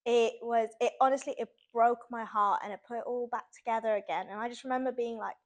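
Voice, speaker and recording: A woman's voice: a little high, fairly even intonation, fast talker. A young woman from Sweden, chatting casually as an author guest in an interview and using lots of "like" fillers.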